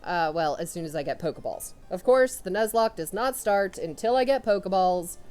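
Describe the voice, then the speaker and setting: A young woman commentating a video game run, loud and excitable, talking to her characters as she plays. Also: medium pitch, normal speed, soft background music. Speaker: let's player. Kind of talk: casual gameplay commentary.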